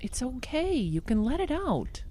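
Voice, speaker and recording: A woman's voice: high-pitched, sing-song, unhurried, warm tone, exaggerated voice. A woman playing a talkative, nosy small-town friend who fusses and presses in an audio drama. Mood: concerned.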